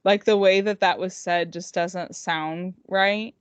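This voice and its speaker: A woman's voice: on the low side, fairly even intonation, slow, clear, resonant tone, no room sound. A woman chatting casually as a podcast co-host and indie author, candid and self-deprecating. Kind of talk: casual chat.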